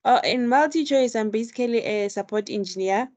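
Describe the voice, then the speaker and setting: A woman student introducing herself in an online class, hesitant and filling pauses with ums and uhs. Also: on the high side, darkish tone. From South Africa. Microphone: video call.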